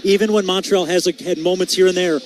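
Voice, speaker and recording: Higher-voiced man giving halting hockey analysis. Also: fairly even intonation, a little hiss or hum. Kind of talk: conversational sports analysis.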